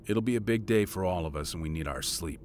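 A man playing a devoted father and veteran intelligence monitor in an audio drama, speaking in a measured, matter-of-fact way. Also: a little mellow, close to an everyday voice. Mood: gentle. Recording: audible low rumbling background noise.

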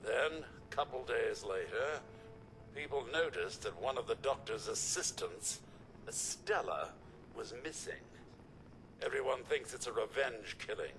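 A weary robot security chief from a game, slow n formal, loud rumble behind. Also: male voice, lively intonation, rough recording. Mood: grave, puzzled. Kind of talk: video game character acting.

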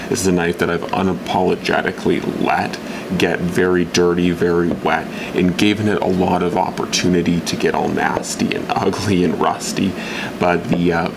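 A man's voice: deep voice, creaky, noisy background. A young man casually reviewing a knife at his workbench, rambling with fillers and restarts. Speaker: outdoor gear reviewer. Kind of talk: casual gear review talk. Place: indoors at a workbench.

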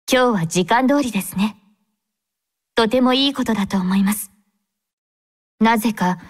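A woman voicing a devout young female android squad captain in an anime game, speaking formally and with gentle composure. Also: a little high. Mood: tender, wistful. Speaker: game character voice actor.

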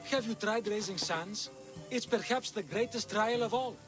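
A man's voice: high voice, moderate pace, close to an everyday voice. An actor playing a harried middle-aged father of three unruly sons, exasperated and grandly theatrical. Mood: pompous. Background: game music.

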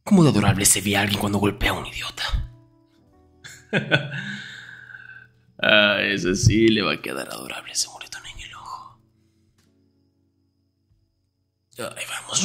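A low, husky young man played by a male actor murmurs in an intimate ASMR roleplay as a jealous, protective best friend. He is grumpy and teasing, slipping often into breathy whispers with a gravelly edge. His delivery is defensive and sarcastic. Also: animated, normal speed, pauses often, a bit bright, soft background music, heavily acted.

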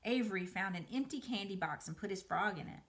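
A middle-aged woman reading a children's story aloud indoors, in an expressive, dramatized way. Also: mid-range voice, fairly quick. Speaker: museum educator reading aloud. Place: small room by a window, indoors.

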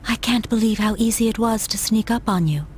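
A woman playing a cold, aloof young female antagonist in a video game, detached and deliberate in delivery. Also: on the high side, a little mellow, slight background noise, close to an everyday voice. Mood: cold, commanding.

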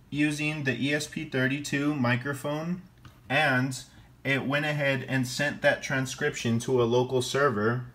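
A young man gives a product demonstration as a student presenter. He speaks slowly, with halting, deliberate phrasing, in a mid-range voice. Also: medium pitch, a bit bright. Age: young adult.

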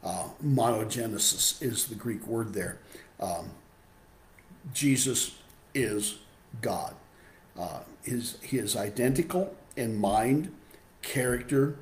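A man in his sixties with a hoarse voice from a cold, teaching an adult Bible class on a webcam and reading scripture aloud. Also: lowish voice, takes it slowly, echoey room, slight background noise. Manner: explanatory, reads scripture aloud. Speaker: Sunday school teacher. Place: home room with window. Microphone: webcam video call.

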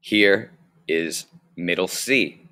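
Deep-voiced man teaching a music lesson. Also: bright, forceful tone. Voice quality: low voice. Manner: slow, clear explaining. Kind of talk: recorded online lesson over slides.

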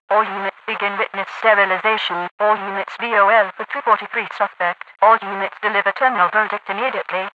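A woman playing a cold, computerized announcer in a dystopian video game, giving clipped, detached military alerts with halting phrasing through loudspeaker and radio processing. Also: medium pitch, a little hiss or hum. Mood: flat, clinical. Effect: synthetic loudspeaker and radio processing. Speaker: automated public address announcer. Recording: phone-like radio, echoing long reverb.

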